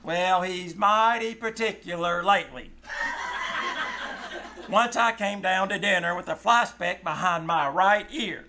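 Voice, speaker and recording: An actor playing a sarcastic, cheeky teenage son in a 1940s-style radio comedy, with wry mock-formal delivery. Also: male voice, sits high, a little slow, crowd noise. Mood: teasing. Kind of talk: 1940s radio comedy acting before live audience.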